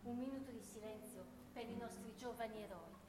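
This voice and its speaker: Actress doing a ceremonious mourning woman, radio drama, repetitive hushing pleas, echoey hall, loud guitar backing. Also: a little high, a little fast, natural voice. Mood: solemn, hushing. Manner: repetitive hushing pleas, switching between several languages. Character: solemn, fussy woman leading a memorial silence for fallen soldiers. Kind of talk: theatrical radio drama monologue. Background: guitar music.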